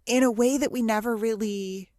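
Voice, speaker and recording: A woman's voice: fairly high pitch, fairly even intonation, normal speed, a little mellow, no echo. Thoughtful woman, dry close-miked voice. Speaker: podcast co-host. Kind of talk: casual analytical TV discussion.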